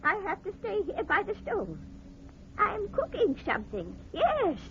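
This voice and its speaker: A woman's voice: very high voice, huge pitch swings, a little slow, a bit bright, heavily acted. An actress playing a toothless, demented old woman housekeeper, cackling and eerie in a radio horror play. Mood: eerie, guarded. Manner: cackling, eerie, muttering repetitively. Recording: muffled, hissy old radio recording.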